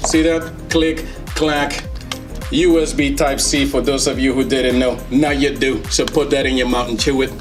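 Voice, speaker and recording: A man's voice: medium pitch, steady tone, normal speed, over music. A man giving casual, enthusiastic unboxing commentary as a tech reviewer, in a playful, slangy, joking manner. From Netherlands. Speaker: tech reviewer, video host.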